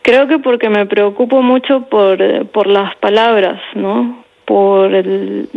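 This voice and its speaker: A woman's voice: medium pitch, fairly even intonation, takes it slowly, creaky, phone-quality sound. A woman, a writer answering interview questions in a reflective way, often tagging "¿no?" and repeating words. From Peru.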